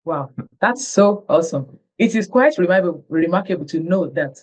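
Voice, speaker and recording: A woman's voice: lowish voice, expressive, normal speed, a little mellow, poor audio. A woman hosting an interview, effusive and stretching her words for emphasis over a video call. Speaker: interview host.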